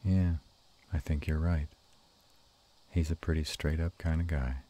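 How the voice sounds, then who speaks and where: Man playing a very deep, gravelly were-dragon lover. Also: little pitch movement, mellow, heavily acted. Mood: thoughtful. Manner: slow, halting, intimate murmur. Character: gruff but tender male were-dragon lover. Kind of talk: intimate romantic audio roleplay.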